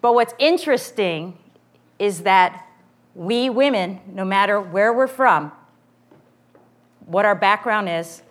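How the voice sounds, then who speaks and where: A woman in her forties with a loud voice, giving a lively, joking inspirational talk to a women's morning gathering. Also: mid-range voice, takes it slowly, long pauses. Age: 40s. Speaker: guest speaker.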